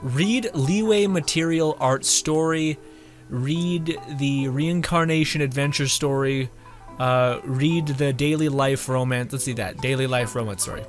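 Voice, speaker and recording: A man's voice: mid-range voice, measured pace. A young man doing casual, jokey game commentary and reading text aloud, with game music behind. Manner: giggly, informal, jokey. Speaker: game streamer.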